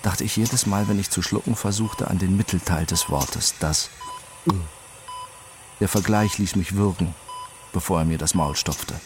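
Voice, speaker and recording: An actor playing a detached accident survivor who narrates his own story deliberately and precisely in a radio drama. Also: deep voice. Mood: numb, precise. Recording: music under the voice.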